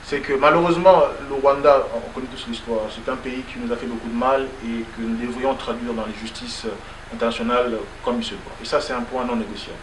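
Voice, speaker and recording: A man's voice: mid-range voice, steady tone, fairly quick. A man making an emphatic, firm point in a debate. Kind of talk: debate statement. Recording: distant from the mic in an echoey room, faint noise.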